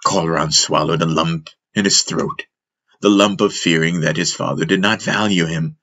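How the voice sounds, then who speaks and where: A man narrating a fantasy audiobook in a measured, solemn, dramatic storytelling style. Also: sits low, fairly even intonation, bright, forceful tone.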